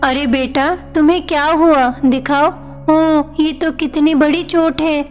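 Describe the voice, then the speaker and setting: A woman's voice: high-pitched, steady tone, measured pace, soft background music. A woman playing a loving, tender mother goddess in a devotional story. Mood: concerned. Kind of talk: devotional animated story dialogue.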